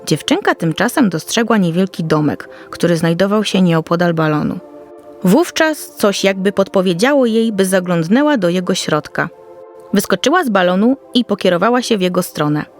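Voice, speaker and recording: A woman's voice: mid-range voice, expressive, moderate pace. A woman reading a scripted children's story as narrator, in a careful storytelling manner. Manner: storytelling, descriptive, carefully read. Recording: soft music under the voice.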